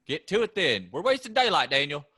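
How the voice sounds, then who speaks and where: A man reading the part of Ray, a gruff, bossy farm brother with a drawling Kentucky accent, in a staged play reading. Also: fairly high pitch, very animated, a little fast, pushed and bright, exaggerated voice. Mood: teasing, bossy. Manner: drawling, needling, rough rural talk.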